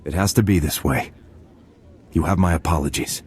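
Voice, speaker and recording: A man voicing a stoic, dutiful soldier in a video game, measured and terse. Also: extremely low pitch, darkish tone, breathy edge, full character voice. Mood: regretful. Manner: measured, terse, matter-of-fact.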